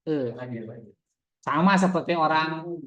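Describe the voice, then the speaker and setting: A man's voice: on the high side, takes it slowly, fairly bright tone. A man teaching Arabic in a classroom, chatty and repetitive, quizzing his students as he goes. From Indonesia. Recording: echoey room, dull lo-fi sound.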